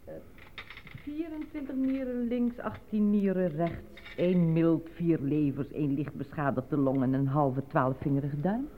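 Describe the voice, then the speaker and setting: A woman playing a brisk, callous official who reports in a businesslike way in a Dutch radio play. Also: low-pitched. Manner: matter-of-fact, businesslike reporting. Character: brisk, callous woman official tallying bodies and harvested organs. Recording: narrow-band old radio play, faint noise.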